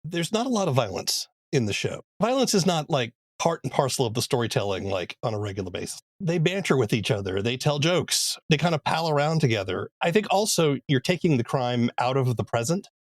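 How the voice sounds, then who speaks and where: A man hosting a podcast, asking questions in a relaxed, conversational way. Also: mid-range voice, a little fast, few pauses, dry recording. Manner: inquisitive, conversational. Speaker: podcast host. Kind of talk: casual interview.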